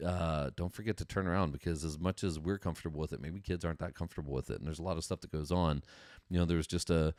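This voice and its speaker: Low-voiced, chatty American man. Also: fairly even intonation, quick pace, darkish tone, no echo, studio quality. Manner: informal, enthusiastic, slangy, chatty. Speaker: podcast host. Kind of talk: casual solo livestream chat.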